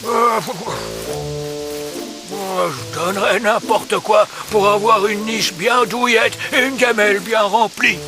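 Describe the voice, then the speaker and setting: A man voicing a gruff, hungry old farm dog with a gravelly voice in a dubbed cartoon. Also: high-pitched, slow pace, over music. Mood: wistful. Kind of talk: French cartoon dubbing.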